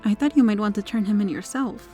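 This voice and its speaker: A woman playing a possessive superheroine with a hidden dark obsession in an ASMR roleplay, her manner controlled and teasing. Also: mid-range voice, fast, faint music behind, natural voice. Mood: dutiful, noble. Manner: smug, flirtatious, controlled.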